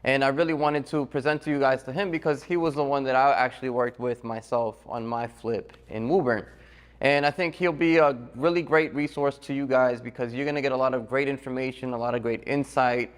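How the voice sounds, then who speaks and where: A man with a medium-pitched voice presents as the host of a course interview. He talks conversationally as he introduces and questions a guest. His voice rings with a long, hall-like reverb. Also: steady tone, fairly quick, clean tone.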